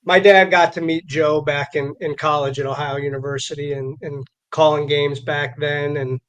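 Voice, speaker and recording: An American man hosting a casual live interview, talking conversationally and haltingly, often repeating words as he goes. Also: a little high, little pitch movement, fairly bright tone. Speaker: live show host and interviewer.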